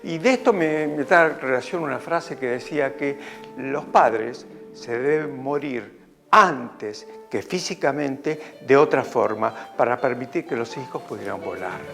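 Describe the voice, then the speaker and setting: A man speaks in an interview in a slow, reflective way, searching for his words. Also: medium pitch, animated, creaky, music in the background. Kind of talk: interview about a play.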